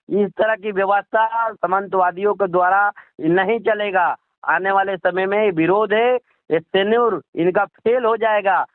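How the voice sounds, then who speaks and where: A man's voice: sits high, dry recording. An Indian man, speaking indignantly in a political phone interview, repeating himself and posing rhetorical questions. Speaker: political activist being interviewed. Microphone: phone call. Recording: muffled lo-fi phone call recording.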